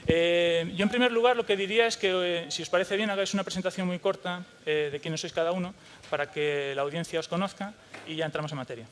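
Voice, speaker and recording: A man's voice: high voice, fast, a little hiss or hum. A man moderating a conference panel, hesitant and full of restarts as he frames his questions. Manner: hesitant, many fillers and restarts. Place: conference hall. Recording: long hall reverb.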